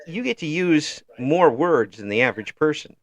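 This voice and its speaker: A man's voice: fairly high pitch, expressive, no room sound. American man interviewer, close dry sound. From United States. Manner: jokey, halting questions. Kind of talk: casual chat interview.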